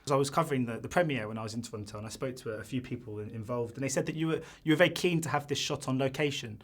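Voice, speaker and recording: A man's voice: mid-range voice, very fast. A man interviewing a film director, asking questions in a chatty, friendly way.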